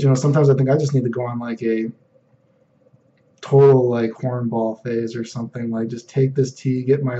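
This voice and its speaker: A young man with a deep voice, rambling casually in a personal vlog, full of fillers and "you know what I mean.". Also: mellow, dull audio, a little hiss or hum. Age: young adult. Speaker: vlogger.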